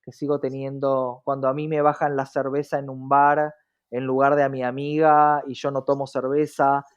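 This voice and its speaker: A man's voice: mid-range voice, level tone, normal speed, smooth, muffled recording. An Argentine man speaking earnestly and reflectively as an interview guest, often checking in with short tag questions. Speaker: interview guest, diversity activist.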